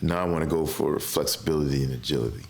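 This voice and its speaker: A man in his fifties, a guest in an interview, speaking in a relaxed, reflective way with many restarts. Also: rumbling low, fast, vocal fry, slight background noise. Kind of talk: casual conversational interview.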